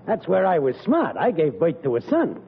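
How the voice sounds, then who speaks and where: A man's voice: fairly high pitch, wildly swooping pitch, moderate pace. An actor playing a wisecracking working-class factory worker and father in a 1940s radio comedy. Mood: wry, needling. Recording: very noisy, tinny old radio.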